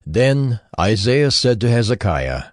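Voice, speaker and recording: A man's voice: mid-range voice, very animated, a little slow, no room sound, clean studio sound. A man narrating a dramatized scripture reading in a solemn storytelling manner.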